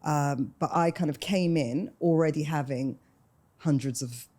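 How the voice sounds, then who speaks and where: Low, mellow London woman in casual chat. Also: measured pace. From London, UK, of Sudanese parents. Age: 40s. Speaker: podcast guest.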